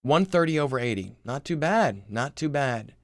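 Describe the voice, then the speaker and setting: Man playing a blustering middle-aged dad, animated pitch. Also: moderate pace, dry recording, studio quality. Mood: self-absorbed. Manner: boastful, blustering, exasperated. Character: brash, self-obsessed fitness-mad father in mid-forties.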